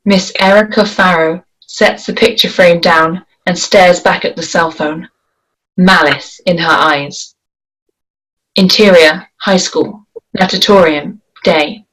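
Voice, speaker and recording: A woman reads the stage directions of a script slowly and deliberately. Her voice sits a little low. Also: steady tone, a bit bright, rough recording. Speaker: narrator reading stage directions. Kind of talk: table read narration.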